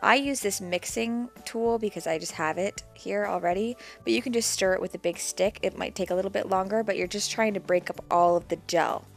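A woman's voice: medium pitch, brisk, creaky, hardly any pauses, soft background music. Young woman narrating a how-to recipe. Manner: plain, practical, walks through each step. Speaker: DIY homesteading vlogger. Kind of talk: step-by-step instructional voice-over.

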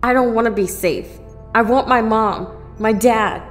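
Young heroine played by a woman, upset, defiant, higher pitch, audio drama, quiet music. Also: unhurried, lightly acted. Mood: angry, distraught.

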